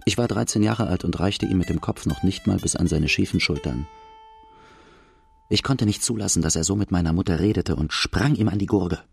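Outlaw narrator, deep creaky voice, first-person dramatic storytelling, radio drama. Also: male voice, fairly quick, faint music behind, exaggerated voice. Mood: grim. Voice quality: gravelly. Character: grown outlaw recounting his hard rural boyhood.

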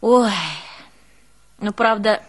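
A woman's voice: a little high, level tone, drawn-out words. An actress playing a garrulous, long-suffering old peasant woman with a rural northern Russian accent, telling her life in a folksy, exclamatory stage monologue. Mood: lamenting. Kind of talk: theatrical monologue, folksy storytelling.

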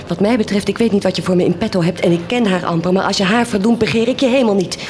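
A woman's voice: mid-range voice, very fast, close to an everyday voice. Woman acting a grave, defiant village woman. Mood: scornful, defiant. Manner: solemn, declamatory, intense. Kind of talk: stage-like radio drama acting.

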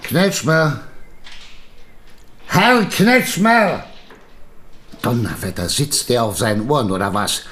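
An actor playing a bossy, impatient newspaper chief who barks orders in a radio play. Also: male voice, medium pitch, sing-song, slow, pauses often, fairly bright tone. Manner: barking, impatient. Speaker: newspaper editor. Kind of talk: radio drama acting.

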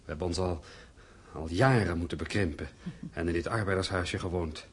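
A man acting in a radio drama as an earnest, wistful, worried husband and heir, with a husky voice and brooding, expressive delivery. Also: low voice, fairly quick. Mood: hopeful, tender.

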